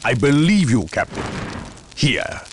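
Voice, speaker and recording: An actor playing a stern, solemn judge among space warriors, grave and commanding, in a video game cutscene. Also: male voice, mid-range voice, very animated, unhurried, background noise. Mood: solemn counsel. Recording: music behind the voice.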